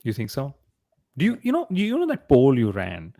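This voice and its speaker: An Indian man speaks as a podcast host in a casual, conversational way. His voice has a creaky, gravelly edge at a middling pitch. The sound is close and dry. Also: huge pitch swings, moderate pace, dark tone, no echo. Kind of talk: casual motorsport podcast discussion.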